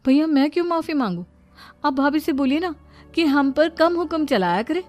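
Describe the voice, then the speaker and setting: A woman's voice: sits high, animated, moderate pace, a little mellow, soft background music. A woman playing an outspoken, earnest young sister in a family story. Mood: indignant. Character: indignant, outspoken young unmarried sister of the household.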